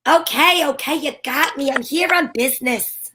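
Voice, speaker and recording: A breezy, flirtatious lady detective acted by a woman with a high voice. She wisecracks archly in the style of a 1940s radio mystery, moving between narration and banter. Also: normal speed, bright, forceful tone, a little hiss or hum. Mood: coy, teasing. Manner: arch, wisecracking, endearments. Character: sassy female private eye, flirtatious and breezy.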